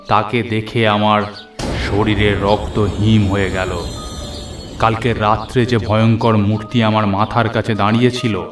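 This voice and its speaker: Male narrator, scripted horror story, suspenseful, theatrical, echo, background music. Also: on the low side. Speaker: first-person narrator. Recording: echoey, music underneath.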